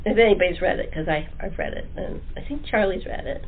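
A woman's voice: lowish voice, quick pace. A middle-aged American woman hosting a casual chat interview, chatty and searching for her words as she goes. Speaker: talk show host. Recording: tinny phone-line audio.

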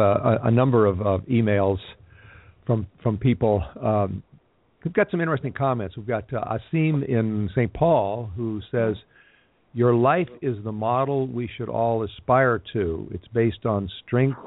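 An older man with an American accent hosting a conversational interview, phrasing things deliberately and haltingly, often repeating words. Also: on the low side, narrow, tinny audio. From United States. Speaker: interview host, journalist. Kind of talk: conversational interview, reading listener questions.

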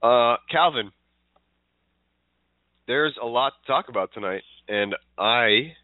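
A man's voice: mid-range voice, slow pace, no room sound. A stuffed-up man co-hosting a casual sports podcast, rambling with restarts. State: stuffed up, congested. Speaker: podcast co-host. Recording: tinny phone-like dry sound.

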